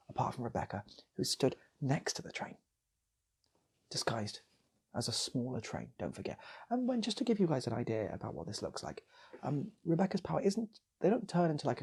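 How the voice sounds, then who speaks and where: Husky, rambling male storyteller. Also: medium pitch, darkish tone. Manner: rambling, repeats phrases for effect. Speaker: storyteller and channel host. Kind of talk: loose improvised comic storytelling, acting out characters.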